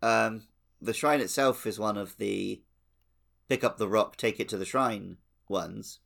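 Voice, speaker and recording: Low voiced guy w lots of vocal fry, wry casual gaming podcast chat. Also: measured pace, a bit bright. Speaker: podcast co-host. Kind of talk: casual video game playthrough chat.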